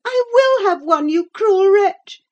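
Picture a teenage girl in a classic novel, pitched very high and swooping with emotion. She is spoiled and passionate, imploring breathlessly on the edge of sobs. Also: animated, slow, highs cut off, full character voice. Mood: furious screaming. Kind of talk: audiobook character acting.

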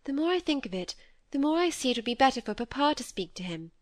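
A woman's voice: sits high, sing-song, fast, dry recording, studio quality, voice pushed up. A woman voicing a nervous, scornful young woman in an audiobook, emphatic and self-pitying. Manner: emphatic, agitated. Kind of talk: acted audiobook dialogue.

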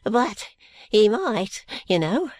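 A man's voice: sits high, sing-song, no room sound, voice pushed up, exaggerated voice. A man putting on a wheedling, spiteful old grandfather. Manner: wheedling, drawn-out, breaks into muttered curses. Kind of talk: audiobook character voice.

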